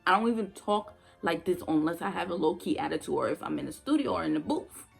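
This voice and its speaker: Young woman venting on her vlog, gravelly husky voice, blunt, keeps repeating herself to make the point. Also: fairly low pitch, lively intonation, fast talker, faint music behind. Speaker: former nursing assistant vlogger. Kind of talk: casual storytelling vlog.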